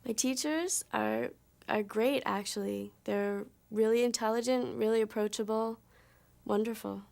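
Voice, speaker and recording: A woman from the United States, a student answering interview questions in a relaxed, conversational way. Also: a little high, unhurried, creaky.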